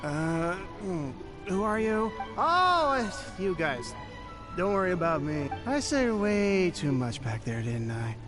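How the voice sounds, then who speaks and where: A man's voice: high voice, very animated, slow pace, music in the background, heavily acted. An actor playing a tipsy, easygoing, gossipy man in a video game, with loose rambling delivery. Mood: tipsy, wary. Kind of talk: video game character acting.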